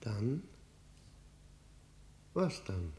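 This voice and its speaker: An actor playing an embittered, haunted lifer in a German radio drama, brooding and intense, with halting dramatic pauses. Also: male voice, on the low side, very animated, darkish tone, a little hiss or hum, full character voice. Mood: tense, probing. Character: embittered, haunted male prisoner serving life.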